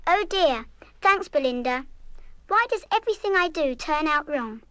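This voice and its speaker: A young schoolgirl in a radio play. Her voice is very high and bright, and she speaks with earnest, wholehearted feeling, defiant yet kind-hearted and quick to show remorse. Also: lively intonation, no echo. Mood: anxious, downcast. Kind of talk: full-cast audio radio play acting.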